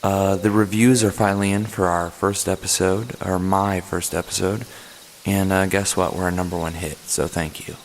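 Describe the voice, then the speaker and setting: A man hosts a comedy radio play in a deadpan, self-important ramble full of fillers. His voice sits fairly low. A long hall reverb lingers after his lines. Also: normal speed, slight background noise. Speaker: podcast host.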